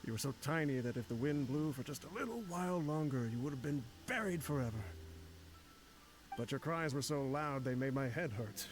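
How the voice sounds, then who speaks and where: A man's voice: medium pitch, a little fast, natural voice, poor audio. A man voicing a middle-aged, ailing former desert mercenary leader who reads a farewell letter with rueful, chuckling humor. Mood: wistful. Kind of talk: game voice acting.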